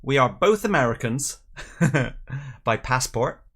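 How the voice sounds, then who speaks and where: A middle-aged man hosts a casual livestream. He talks in an easygoing, chatty way with a medium-pitched voice, moving between viewer comments and light jokes. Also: expressive, takes it slowly, a bit bright, breathy edge. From Northern Ireland and England. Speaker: host of a live whisky tasting show.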